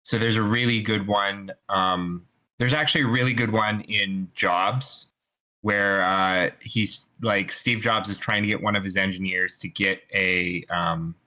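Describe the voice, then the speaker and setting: Bright-voiced man explaining, halting and casual. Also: fairly low pitch, fairly even intonation, poor audio. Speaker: participant giving writing advice.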